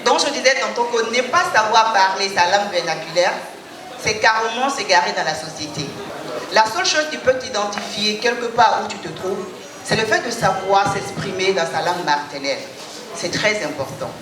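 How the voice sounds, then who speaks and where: A woman's voice: medium pitch, animated, normal speed. A woman giving a ceremonious, exhorting speech at a pageant on a handheld mic. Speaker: guest speaker at a pageant. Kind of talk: formal public address. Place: large hall at a live event. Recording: echoey hall from far off, loud crowd.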